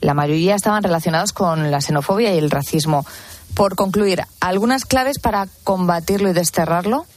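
A woman hosting a radio discussion, measured and reading out figures. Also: fairly low pitch, no room sound. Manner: measured, reads figures and data. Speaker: radio talk show host.

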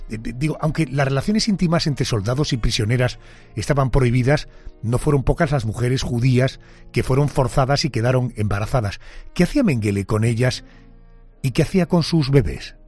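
A male host mixing measured, dramatic narration with questions to his guest. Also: medium pitch, a little mellow, soft background music. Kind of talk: documentary narration and interview questions.

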